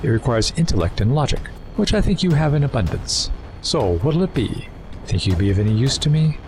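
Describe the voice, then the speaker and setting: An actor in an audio drama playing a suave, erudite renegade Time Lord villain, wordy, condescending and persuasive. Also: male voice, medium pitch, very animated, a little fast, a little mellow, a little hiss or hum, close to an everyday voice. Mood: sly, probing. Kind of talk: full-cast audio drama acting.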